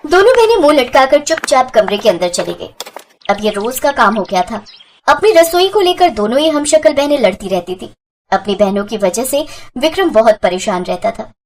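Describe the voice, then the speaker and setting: High-pitched woman storyteller. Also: big pitch swings, normal speed, a little hiss or hum. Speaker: narrator. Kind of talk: scripted story narration.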